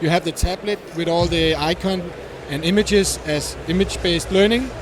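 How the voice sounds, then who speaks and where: High-voiced, halting man over clear background noise. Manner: hesitant, halting, searching for words. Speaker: startup representative being interviewed. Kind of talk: explanatory interview answers. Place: busy tech conference venue. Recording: noisy event hall, steady din.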